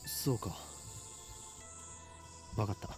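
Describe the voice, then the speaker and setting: An actor playing a bossy, grandiose young mad scientist in Japanese anime visual novel style, pompous and melodramatic. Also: male voice, a little slow, music in the background, close to an everyday voice. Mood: serious.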